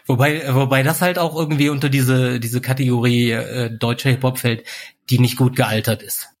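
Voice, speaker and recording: A man in his forties telling stories in a relaxed, rambling way, full of fillers, as a guest in a casual chat. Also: mid-range voice, normal speed. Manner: relaxed storyteller, many fillers like "halt" and "irgendwie". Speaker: podcast guest.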